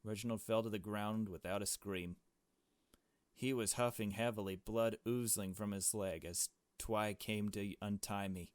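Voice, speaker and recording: A man's voice: lowish voice, fairly even intonation, a little slow, no echo. A man playing a visual novel, reading the story aloud and adding his own casual commentary. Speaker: let's player.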